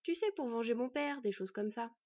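A woman's voice: a little high, expressive, moderate pace, darkish tone, dry recording. A woman voicing a cheerful, cocky and mocking teenage half-elf boy in a storybook, eager and taunting. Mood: coolly taunting. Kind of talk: storybook character voice. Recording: dull, slightly distant microphone.

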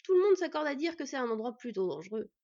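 A woman's voice: high-pitched, sing-song, quick pace, a little mellow, highs cut off, voice pushed up. A woman voicing a jolly, boisterous male smith who talks in a hearty, teasing way.

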